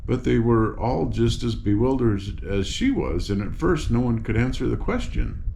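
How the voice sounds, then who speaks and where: An older man reading a children's story aloud in a homely, unhurried way, with small slips. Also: medium pitch, very animated, dark tone. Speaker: storybook reader. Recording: clearly noisy room ambience.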